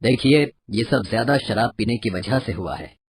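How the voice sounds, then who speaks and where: A woman plays a grave, stern doctor in a cartoon fable. The voice is low and husky, warning firmly. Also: moderate pace, no echo, heavily acted. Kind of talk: animated moral story dialogue.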